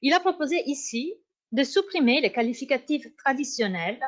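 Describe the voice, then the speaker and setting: A woman with as her language, giving a formal academic conference talk and often pausing to search for words. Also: high voice, expressive. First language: Italian. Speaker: university researcher. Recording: dull lo-fi sound.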